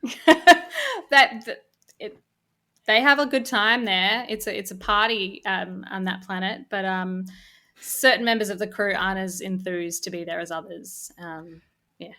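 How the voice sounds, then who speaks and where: A woman with a bright, crisp-edged voice at an average pitch chats as a guest. She speaks casually, with dry, cheeky humour. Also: steady tone, normal speed. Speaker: guest, audio drama co-creator and actor. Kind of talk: casual chat.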